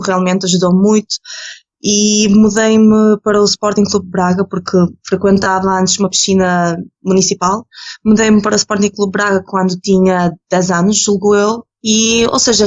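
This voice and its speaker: A woman's voice: mid-range voice, muffled recording. A young woman born in Ukraine and raised in Portugal, speaking as an easygoing interview guest. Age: young adult. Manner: easygoing, laughs often, some false starts. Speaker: guest, competitive swimmer. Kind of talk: casual interview answers.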